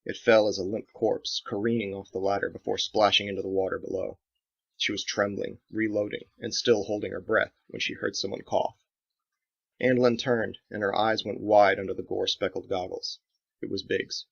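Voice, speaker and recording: A man's voice: on the low side, little pitch movement, normal speed, creaky. A man reading his own dramatic action novel as scripted audiobook narration, in a slightly dull recording.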